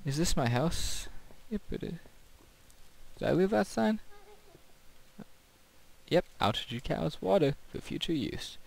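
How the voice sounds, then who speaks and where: Man with swooping pitch, rambling casually. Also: lively intonation, a little slow, gravelly, long pauses, a little mellow, rough recording. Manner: rambling, thinking aloud. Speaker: gaming video host. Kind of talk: casual gameplay commentary.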